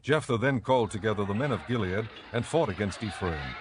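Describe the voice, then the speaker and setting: A man giving scripted, measured and solemn narration for a dramatized audio Bible. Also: on the low side, expressive, background noise. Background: background music.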